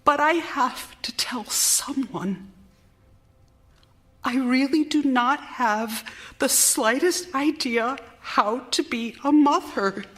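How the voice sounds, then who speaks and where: An actress playing an anxious, exhausted young wife and mother in period radio theater, halting and intense, dropping into hushed tones. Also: high voice. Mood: distressed, pleading. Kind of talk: period radio theater acting, monologue and dialogue. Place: theater stage, live performance.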